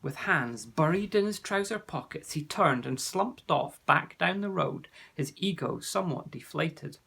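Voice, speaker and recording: Gravelly, expressive male storyteller. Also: a little high, measured pace. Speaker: narrator. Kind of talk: reading a children's story aloud.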